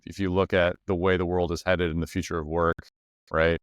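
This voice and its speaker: Deep-voiced man hosting a podcast. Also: level tone, fairly quick, clean tone, dry recording. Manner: talkative, thinks aloud with many "right?" and "like". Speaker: podcast host. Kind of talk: casual interview chat.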